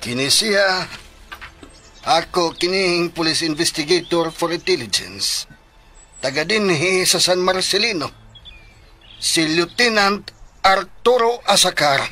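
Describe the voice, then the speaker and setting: An officious, proud Filipino police chief in a radio drama. He speaks emphatically in a high, forceful voice with faint music behind. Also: animated, slow, pauses often, heavily acted.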